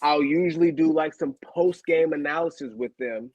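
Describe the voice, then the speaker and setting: A man co-hosting a casual podcast as a former player, telling stories in an animated, slangy way and often repeating himself. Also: a little high, normal speed, breathy edge, dry recording, dull audio. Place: home studio, video call. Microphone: video call setup.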